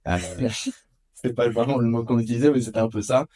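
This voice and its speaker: A man in his thirties from France, a podcast guest telling stories of his youth in a casual, animated way with many hesitations. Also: normal speed. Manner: animated, lots of filler hesitations. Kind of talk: casual storytelling. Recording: dull low-fidelity podcast audio.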